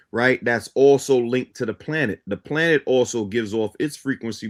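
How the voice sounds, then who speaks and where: A man from Guyana who grew up in Brooklyn, explaining his ideas in a didactic way as a talk show guest. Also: medium pitch. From born in Guyana, grew up in Brooklyn, New York. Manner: didactic, often checks in with "right?". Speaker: guest, teacher of a belief system.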